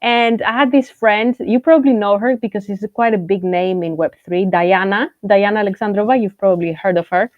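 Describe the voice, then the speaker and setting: A woman from Greece, talking loosely and warmly as a guest, filling her pauses with "uh" and "um" and restarting her sentences. Her voice sits in a middle pitch. The audio is dry and muffled, like an online voice chat. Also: no room sound. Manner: chatty, frequent fillers and restarts. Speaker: guest, journalist and content creator. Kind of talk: casual interview chat.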